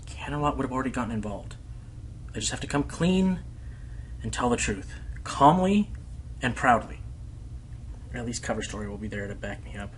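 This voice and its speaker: A man's voice: medium pitch, animated, normal speed, long pauses, slight background noise, natural voice, poor audio. A man playing a worried, weary pony radio host in a radio play. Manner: worried, hesitant, sighing. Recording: loud music over the voice.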